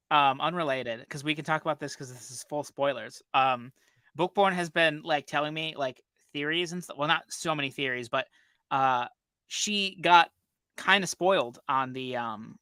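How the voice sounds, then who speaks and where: A man's voice: on the high side, a little slow, bright, forceful tone, clean tone, no room sound. A man chatting casually as a podcast co-host, often acting out imagined lines of dialogue as he talks. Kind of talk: casual chat.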